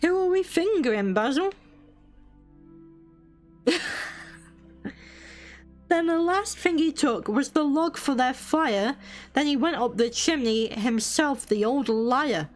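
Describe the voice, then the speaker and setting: British woman reading a bedtime story on stream, slow pace, cheeky little asides, bit of music behind. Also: sits high. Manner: cheeky, playful commentary.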